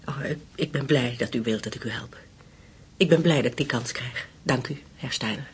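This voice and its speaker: An actress in a radio drama playing a gentle, grateful widow who speaks politely. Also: female voice, moderate pace, husky, heavily acted. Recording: faint noise and low rumble.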